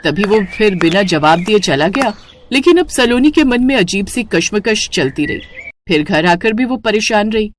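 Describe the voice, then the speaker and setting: A woman's voice: medium pitch, expressive, normal speed, a little hiss or hum. A woman narrator reading a scripted story in a storytelling style.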